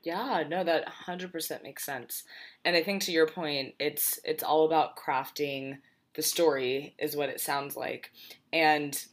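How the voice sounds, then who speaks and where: Woman podcast host, low voice, casual and fairly monotone, stammers when starting a thought. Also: measured pace. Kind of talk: casual interview chat.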